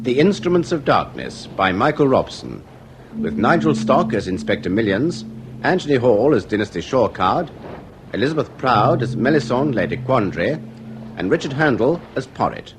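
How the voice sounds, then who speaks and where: A man's voice: medium pitch, big pitch swings, normal speed, background noise. A man announcing a radio play and its cast in a scripted style.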